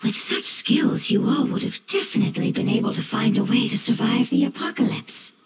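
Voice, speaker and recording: A woman playing a gentle, wise, ancient plant spirit in an anime game, calm and formal as she explains. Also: fairly high pitch, a little fast, close to an everyday voice. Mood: calm, instructive. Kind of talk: anime video game voice acting. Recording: roomy echo, game music behind.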